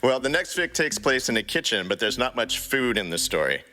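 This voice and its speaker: Man, podcast co-host, lively intonation, forceful bright tone, scripted banter and introductions. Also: mid-range voice, a little fast.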